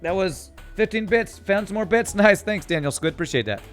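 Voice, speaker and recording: A man streaming a video game, chatting casually and reading chat aloud, with a calm voice that sounds tired. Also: sits high, fairly quick, soft background music. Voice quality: calm, soothing voice. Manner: chatty, reads chat aloud, laughs often. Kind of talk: casual live-stream commentary.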